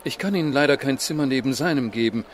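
A man's voice: mid-range voice, fast, slight background noise, close to an everyday voice. An actor playing a courteous male hotel receptionist in a radio drama.

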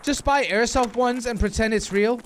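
A man's voice: very high voice, normal speed, background noise. A man, chatting casually with stream viewers in slangy, profane, repetitive bursts. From Denmark. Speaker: live game streamer. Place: indoor room, streaming setup. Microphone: close desk microphone, headset worn.

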